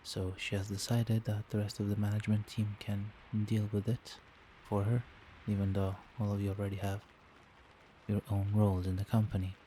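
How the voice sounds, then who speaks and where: A man playing a doting, protective vampire boyfriend in a soft-spoken, soothing and attentive ASMR roleplay. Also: lowish voice, monotone, moderate pace, a little hiss or hum, lightly acted, rough recording. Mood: tender, concerned. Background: rain.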